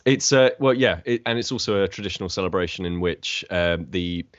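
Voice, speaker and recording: A man co-hosting a casual podcast, rambling with many pauses and restarts as he riffs on ideas. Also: sits low, lo-fi sound. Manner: rambling, hesitant, lots of ums and restarts. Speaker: podcast co-host. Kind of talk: casual chat.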